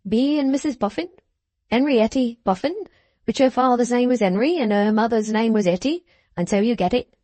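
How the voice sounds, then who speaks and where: A woman doing a hearty rough-spoken old man. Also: on the high side, moderate pace, dark tone, no echo, voice pushed up, close to an everyday voice. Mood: eager. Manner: broad, rough-grammared, enthusiastic. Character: hearty uneducated older man, jovial and boastful.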